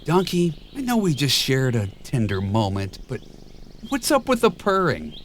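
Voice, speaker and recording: A man voicing a grumpy big male ogre, gruff and huffy. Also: mid-range voice, wildly swooping pitch, moderate pace, a little hiss or hum. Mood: weary.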